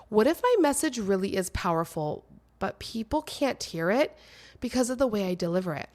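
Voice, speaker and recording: A woman in her thirties hosting her own podcast, speaking in an earnest, emphatic monologue with dramatic pauses; she is a little nasal and her voice is slightly crackly. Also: medium pitch. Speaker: podcast host. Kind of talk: personal solo podcast monologue.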